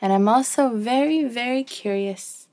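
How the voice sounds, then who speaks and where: A woman's voice: fairly high pitch, a little slow, noisy background, natural voice. A woman voicing a brave, stubborn, curious young antelope in a children's story, eager and full of exclamations. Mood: bold, curious. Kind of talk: children's storybook character acting. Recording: loud music behind the voice.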